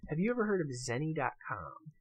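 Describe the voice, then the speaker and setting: A man's voice: on the high side, sing-song, a little fast, husky. Bantering man co-hosting a casual podcast. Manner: bantering, mock-serious, swearing freely. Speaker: podcast co-host. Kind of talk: casual joking podcast chat.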